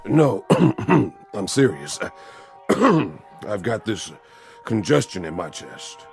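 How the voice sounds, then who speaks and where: Frail old patient, low voice, very slow, swooping pitch, plaintive. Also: male voice, big pitch swings, soft background music, exaggerated voice. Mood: wistful. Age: older. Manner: slow, throat-clearing, coughing elderly talk. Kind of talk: screen drama acting.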